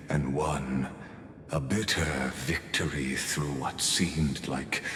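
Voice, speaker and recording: A man voicing a solemn, grandiose game character who speaks in a measured, ceremonial, theatrical way. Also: very deep voice, sharp tone, a little hiss or hum, exaggerated voice. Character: solemn, grandiose man delivering an epic toast and narration. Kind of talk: theatrical scripted game voice acting. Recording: echoey long reverb, background music.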